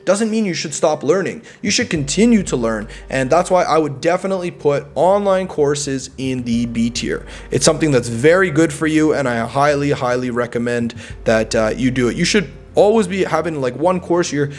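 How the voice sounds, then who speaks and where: A man's voice: fairly high pitch, lively intonation, normal speed, few pauses. A young man vlogging, giving casual self-improvement advice in an emphatic way. He often repeats words and adds "right?".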